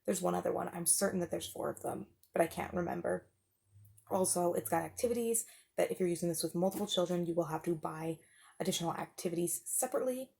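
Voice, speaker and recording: A woman's voice: fairly low pitch, fast, vocal fry, a little mellow, breathy edge. A woman giving a casual, explanatory product review as a parent, talking informally and with enthusiasm.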